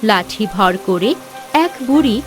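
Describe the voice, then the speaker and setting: A woman's voice: medium pitch, lively intonation, unhurried, soft background music. A woman narrating a village fable as a scripted, dramatic story.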